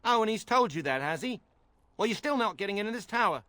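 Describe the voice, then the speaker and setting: An actor playing a petulant, sarcastic templar guard in a video game, pompous and mocking in manner. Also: male voice, sits high, animated, fast, sharp tone, no echo.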